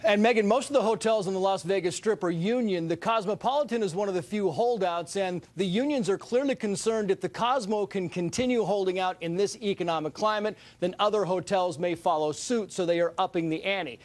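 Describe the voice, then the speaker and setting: A man's voice: high voice, fairly quick, few pauses. A male correspondent delivering a news report from the newsroom.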